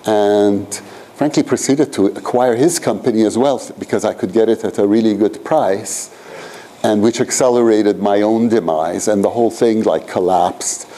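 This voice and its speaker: Man, 60s, from Iran, professor type. Low, warm voice; loose, filler-laden lecture delivery. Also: normal speed, rough recording. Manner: rambling, filler-heavy, frequent "you know" and "frankly". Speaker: professor leading a seminar. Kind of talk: conversational seminar lecture. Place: lecture room at a graduate school.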